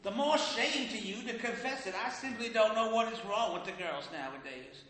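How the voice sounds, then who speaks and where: A man's voice: sits high, normal speed, a bit bright. A man playing a fussy, proud old grandmother in a stage play, genteel and scolding. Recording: echoey hall with faint noise.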